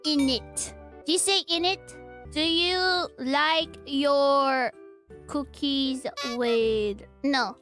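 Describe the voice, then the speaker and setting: A woman in a played clip, speaking in a slow, sing-song, playfully teasing way. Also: high-pitched, fairly bright tone, faint music behind.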